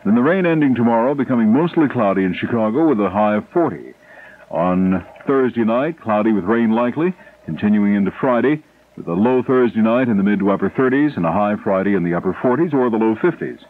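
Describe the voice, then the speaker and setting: Low-voiced American male radio weather reader. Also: lively intonation, brisk. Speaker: local radio news anchor. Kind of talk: broadcast weather reading. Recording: noisy lo-fi 1970s radio broadcast.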